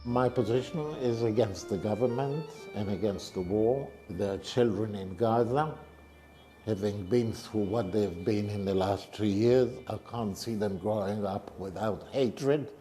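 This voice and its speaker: A man in his eighties from Israel, a retired professor giving measured interview answers. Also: fairly low pitch, warm tone, faint music behind. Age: 80s. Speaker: retired political science professor being interviewed.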